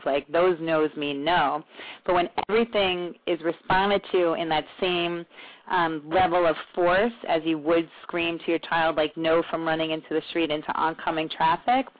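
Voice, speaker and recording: A woman co-hosting a casual podcast chat, who talks in a rambling, chatty way and laughs at herself. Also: on the low side. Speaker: podcast co-host. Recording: tinny phone-like line.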